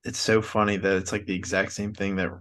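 Lowish-voiced American man, blunt and casual. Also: little pitch movement, brisk, fairly bright tone. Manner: informal, blunt asides. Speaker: podcast co-host. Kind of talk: casual banter. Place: home room, on a video call. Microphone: close desk microphone on arm.

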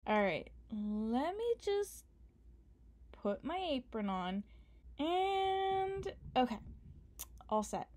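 A woman's voice: high-pitched, big pitch swings, very slow, pauses often, a little mellow, slight background noise. A young woman doing an ASMR roleplay as a partner, playful, affectionate and teasing. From Canada. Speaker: ASMR performer.

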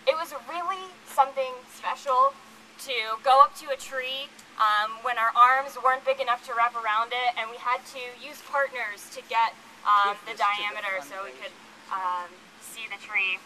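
A woman's voice: a little high, fairly even intonation, moderate pace, bright, forceful tone, poor audio. A young woman from Virginia giving an informal, earnest, somewhat hesitant speech through a megaphone at an outdoor rally. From Virginia, United States. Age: young adult. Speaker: student rally speaker. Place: outdoors on a campus lawn. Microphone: handheld megaphone. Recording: megaphone outdoors, faint ambient noise.

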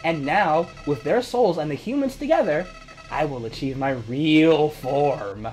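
A woman's voice: deep voice, animated, a little slow, a little mellow, faint music behind, rough recording. A woman voicing Flowey, a sneering evil flower. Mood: gloating. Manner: gloating, menacing, cackling. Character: sneering evil talking flower, small male villain.

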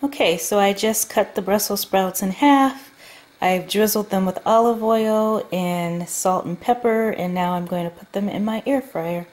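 A woman vlogger casually talking through her grocery haul at home, unhurried with frequent ums. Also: mid-range voice, darkish tone. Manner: chatty, unhurried, many ums. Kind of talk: casual food haul narration. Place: home kitchen table.

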